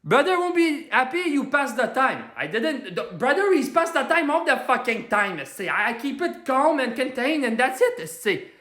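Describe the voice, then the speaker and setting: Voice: high-pitched, big pitch swings, normal speed, hardly any pauses, reverberant, exaggerated voice. A performer playing a hot-headed working-class man from Quebec who is angry all day, ranting in broken English mixed with French, with a strong Québécois French accent, on a comedy livestream. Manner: loud ranting, self-styled Quebec Hulk. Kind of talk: ranting comedy livestream, answering viewer comments.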